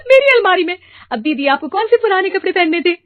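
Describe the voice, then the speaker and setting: Lady doing a greedy cheeky bhabhi in a family story, real high and giggly, all over the place, dry recording. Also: big pitch swings, moderate pace, no echo, narrow, tinny audio. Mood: cheeky, wheedling. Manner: exclaiming, giggly, mock-innocent. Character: stingy, gleeful, wheedling young Indian sister-in-law, shamelessly cheeky.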